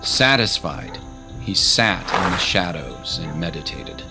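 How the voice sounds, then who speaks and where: Slow, low-voiced man narrating dramatically. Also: very animated, creaky. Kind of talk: scripted dramatic story narration. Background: loud music.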